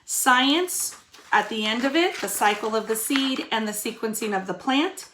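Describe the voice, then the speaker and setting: A woman's voice: fairly high pitch, expressive, a little slow, a bit bright, a little hiss or hum. A woman teacher giving young pupils an informal video lesson, explaining step by step and addressing them directly. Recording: echoey room.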